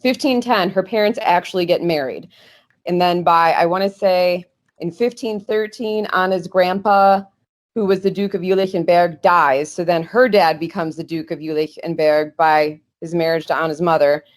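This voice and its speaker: A woman's voice: fairly low pitch, steady tone, normal speed. A woman talking as a guest historian on a podcast, earnest and explanatory, with small restarts. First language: English.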